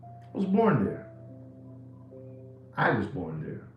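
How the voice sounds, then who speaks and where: A man's voice: medium pitch, slow, echoey room, lo-fi sound, faint music behind. A man, hosting a live watch podcast in a rambling, jokey way full of slang. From New York City. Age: 60s. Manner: animated, jokey storytelling with slang.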